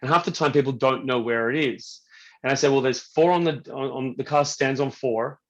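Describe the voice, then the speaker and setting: A man with an average-pitched voice chats on an online panel. His delivery is casual and anecdotal. He comes across as self-effacing and jokey. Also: normal speed, dull audio. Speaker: webinar panelist, business-side tech salesman. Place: online webinar.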